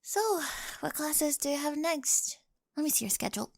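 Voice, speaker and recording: A young woman playing a quirky, awkward, talkative high school girl with a crush in an ASMR roleplay, rambling eagerly and often slipping into breathy whispers. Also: animated, normal speed, a little hiss or hum. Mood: excited, playful. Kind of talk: scripted ASMR roleplay, addressed to the listener. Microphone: close, dry studio-style microphone.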